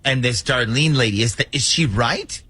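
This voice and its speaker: A man's voice: medium pitch, moderate pace, bright, forceful tone, slightly rough. A man with an American accent hosting a morning radio show, bantering through a prank call segment.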